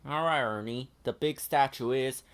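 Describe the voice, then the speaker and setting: A man voicing a staid, bookish, easily exasperated male friend in a children's storybook, in a measured grumble. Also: medium pitch, fairly bright tone, clear, resonant tone, close to an everyday voice. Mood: reluctant agreement.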